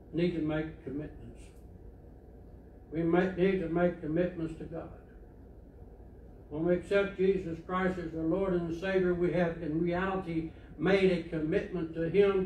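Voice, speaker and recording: An older man delivers a sermon from the pulpit, telling stories in a plain, conversational way. His voice sits fairly high for a man. It is picked up by a distant camera and echoes around the church sanctuary. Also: phone-quality sound. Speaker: preacher. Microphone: far camera. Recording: echoey church from far off, faint hiss.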